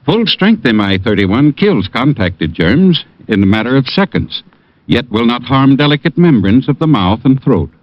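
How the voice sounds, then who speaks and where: A man delivering a 1950s radio commercial as a druggist spokesman, earnest and measured. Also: sing-song, no room sound. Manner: earnest, measured sales pitch. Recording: dull, dry old radio transcription.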